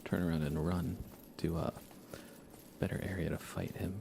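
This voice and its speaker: A man giving soft-spoken, relaxed and unhurried ASMR commentary while he plays a video game live. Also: low voice, creaky, background noise. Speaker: livestreamer playing a video game.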